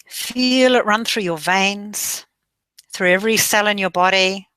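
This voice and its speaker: A woman guides a meditation at a very unhurried pace, her tone bright and clear. She draws out her phrases, soothing and gentle, slipping now and then into soft breath. The sound is close and dry, with no room around it. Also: medium pitch, no echo.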